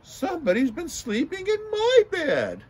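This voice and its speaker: A man's voice: very high voice, wildly swooping pitch, a little slow, darkish tone, pitched above the performer's own voice, exaggerated voice. A man voicing a mama bear in a children's story with a raised, prim delivery. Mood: indignant. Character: mother bear, middle-sized and prim.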